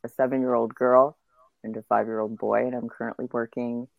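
A woman in her thirties, a guest chatting on a podcast. Her pitch is extremely low for a woman, with plenty of vocal fry. The sound is dry, with no room around it, and her delivery is conversational. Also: level tone, brisk, no echo, narrow, tinny audio. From Canada, born in Uganda. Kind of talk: casual conversational interview.

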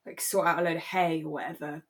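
A woman co-hosting a relaxed, chatty podcast, telling stories in a playful, jokey and animated way. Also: low-pitched, fast talker. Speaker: podcast co-host. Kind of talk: relaxed casual chat about a radio drama.

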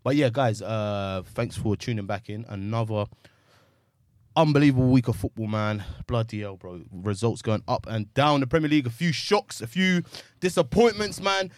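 A man hosting a casual football podcast, slangy and animated, calling everyone bro. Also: mid-range voice, normal speed, no echo, clean studio sound. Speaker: podcast host.

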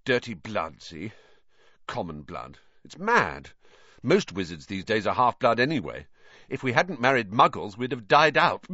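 A man voicing a queasy, indignant schoolboy wizard in an audiobook, hoarse and gasping, blurting out his words. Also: lowish voice, very animated, unhurried, pushed and bright, no echo, dull audio, pitched above the performer's own voice, heavily acted. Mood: disgusted. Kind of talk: audiobook character voice.

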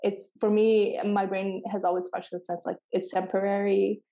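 A woman's voice: medium pitch, flat delivery, normal speed, warm tone, rough recording. A woman whose first languages are Pashto and Farsi, talking earnestly in a reflective podcast conversation and often restarting her sentences. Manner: earnest, searching, many restarts. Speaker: podcast co-host.

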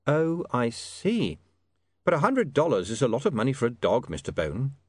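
A man voicing a dry, pedantic, quick-witted gentleman in an audiobook story, polite and precise. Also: medium pitch, wildly swooping pitch, no echo. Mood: polite, curious.

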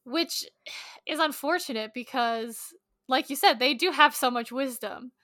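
A woman co-hosting a podcast, chatting informally about books and thinking aloud in halting, pieced-together sentences. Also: sits high, pushed and bright, no room sound, clean studio sound. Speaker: podcast co-host. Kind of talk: casual book-club chat.

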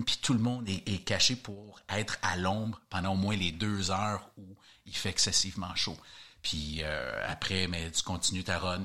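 Deep-voiced Québécois man telling stories. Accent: Québécois French. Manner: animated, chatty, repeats words while searching. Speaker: guest hiker. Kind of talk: casual interview storytelling.

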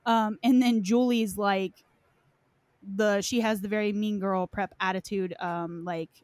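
A woman's voice: mid-range voice, steady tone, measured pace, darkish tone, dry recording. Casual woman chatting, close dry sound. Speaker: podcast co-discussant on game lore. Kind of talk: casual chat.

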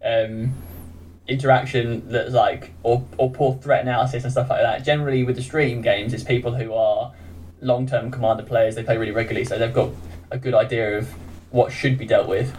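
A man's voice: mid-range voice, steady tone, a little fast, a little mellow, faint music behind, poor audio. An English man, a podcast guest who streams games, talking in a chatty, self-deprecating way and laughing often. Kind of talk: casual chat.

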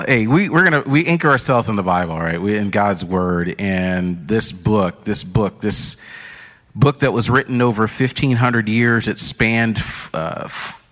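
A low-pitched man preaches from a stage in a casual, conversational way, often stopping to restart a thought. His voice rings out with a long reverb from the hall around him. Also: fairly bright tone. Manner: conversational, many fillers and restarts. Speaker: pastor. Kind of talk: sermon. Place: church stage.

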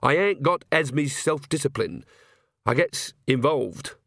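A man's voice: medium pitch, lively intonation, takes it slowly, creaky, fairly bright tone, dry recording, clean studio sound, exaggerated voice. A man voicing a shrewd, earthy old village witch woman who talks bluntly in folksy grammar.

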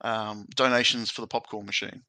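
Bright, jokey male podcast host. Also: lowish voice, brisk, no echo. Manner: jokey, excitable, stammering restarts. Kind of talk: casual podcast chat.

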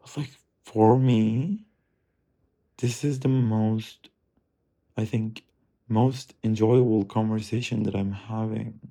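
Guy rambling to himself, dark mellow voice, lotta fry, cursing and trailing off. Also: fairly low pitch, steady tone, slow, long pauses. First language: Turkish. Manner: musing, drifting, profane, softly trailing off. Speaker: streamer. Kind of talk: rambling self-talk monologue.

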